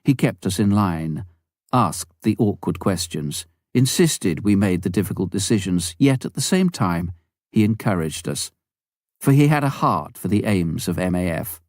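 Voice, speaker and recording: A man with a fairly low, very mellow voice narrates a memoir. His reading is measured and clearly phrased, in the scripted style of an audiobook. The sound is dry and close, with little room around it. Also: lively intonation, no room sound.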